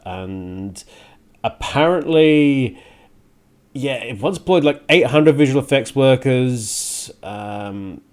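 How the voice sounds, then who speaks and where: A man presents his own radio show and podcast in a casual, unscripted way. His voice sits at a middling pitch. He rambles conversationally, stopping and starting, and leans into opinionated ranting. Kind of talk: casual solo podcast talk, partly ranting.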